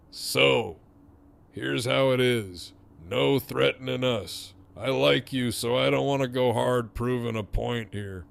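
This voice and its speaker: An actor playing a menacing, foul-mouthed gang leader in an audio drama, with a taunting, theatrical, drawn-out delivery. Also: male voice, mid-range voice, gravelly, fairly bright tone, full character voice. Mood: mocking menace.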